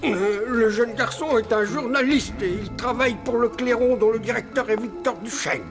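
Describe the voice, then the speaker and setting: A frightened cartoon shopkeeper, nervous and evasive. His voice sits high with a gravelly creak, and music plays clearly behind him. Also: moderate pace. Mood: cowed. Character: frightened, cowardly male pastry shop owner, evasive. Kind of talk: French cartoon voice acting.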